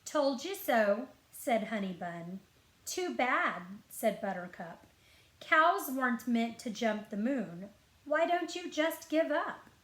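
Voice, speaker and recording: A woman reads a children's story aloud in a fairly high voice. She takes her time, phrasing each line deliberately and expressively, as a librarian does for young listeners. The room adds a noticeable echo. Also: very animated, a bit bright. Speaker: school librarian. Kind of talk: picture-book read-aloud to children. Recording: roomy echo.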